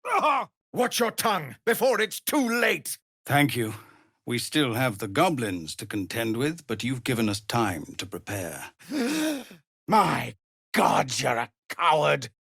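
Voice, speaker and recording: An actor playing a weary, dutiful leader of refugee outcasts in a video game, speaking formally and earnestly. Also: male voice, medium pitch, huge pitch swings, slow, gravelly, a bit bright, breathy edge, dry recording, studio quality, exaggerated voice. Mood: furious, shouting. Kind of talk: dramatic video game voice acting.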